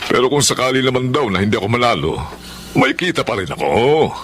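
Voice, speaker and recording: An affable, scheming candidate in a Filipino radio drama. His medium-pitched voice swings freely as he coaxes in a folksy, chatty way. Faint noise sits behind him. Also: sing-song, a little fast, fairly bright tone. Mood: pleased, persuasive. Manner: folksy, chatty, full of coaxing interjections. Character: affable, scheming male cousin running for vice mayor.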